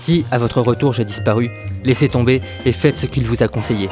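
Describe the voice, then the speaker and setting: A man's voice: medium pitch, fairly quick, music in the background, natural voice. A man playing a weary, wry and stubborn 1930s private detective in a French audio drama, narrating and talking in noir style. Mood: firm, resolute.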